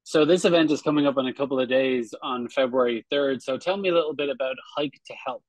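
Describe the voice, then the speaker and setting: A man's voice: medium pitch, fast talker, no room sound, low-quality recording. A man in his forties hosting a conversational interview over a video call, chatty and affirming. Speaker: show host, interviewer. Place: room at home, video call.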